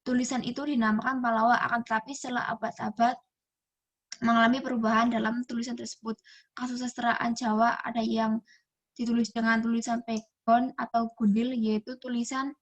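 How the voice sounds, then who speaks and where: A woman's voice: fairly high pitch, little pitch movement, brisk. A young woman from Indonesia, a university student reading a class presentation aloud from a text and often restarting words. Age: young adult.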